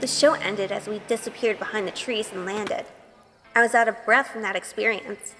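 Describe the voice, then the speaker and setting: A woman's voice: mid-range voice, steady tone, moderate pace, in a large hall, over music, natural voice. An actress playing a sheltered, good-hearted young woman turned witch, narrating her own audio drama story with wonder. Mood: wonder-struck. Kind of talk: first-person audio drama narration with in-scene dialogue.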